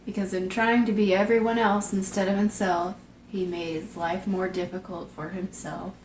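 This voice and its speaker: A woman co-hosting a casual chat, dropping playful deadpan asides. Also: mid-range voice, normal speed. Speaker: co-host. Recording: distant mic, roomy echo, background hiss.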